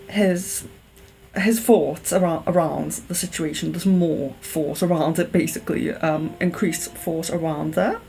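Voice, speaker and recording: A woman's voice: lowish voice, vocal fry, a little mellow, a little hiss or hum, rough recording. A woman giving a live-stream tarot reading, thinking aloud in a hesitant, searching way and sounding a little sniffly. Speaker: tarot reader.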